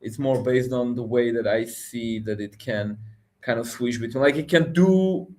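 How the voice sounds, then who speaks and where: A man's voice: mid-range voice, normal speed, darkish tone. Man with Armenian native tongue chatting. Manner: chatty, laughs between points, hesitant restarts. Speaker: livestream host. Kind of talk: casual tech chat.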